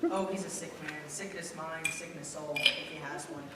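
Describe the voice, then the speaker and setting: A weary but kindly small-town father with a high voice for a man, played by a male actor. He speaks earnestly in a stage radio play, in a very echoey hall with clear noise. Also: steady tone, fairly quick, recorded from a distance, close to an everyday voice. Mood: weary, bitter. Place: school theater stage.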